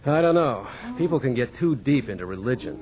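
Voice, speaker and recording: A man acting as an easygoing young American farmer in a radio drama, telling his life in a folksy, conversational way. His mid-range voice moves in lively swings of pitch, with music around it. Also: a little fast, close to an everyday voice. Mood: casual, dismissive. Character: easygoing young farmer and father, dismissive of religion. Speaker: narrator and lead in radio dramatization. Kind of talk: scripted first-person radio drama narration and dialogue.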